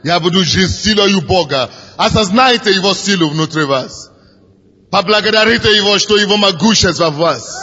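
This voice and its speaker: Man, fervent pastor, high voice, dull old recording in a reverberant church hall. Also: lively intonation, normal speed. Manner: fervent, builds to shouts, repeats phrases. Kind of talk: sermon leading prayer.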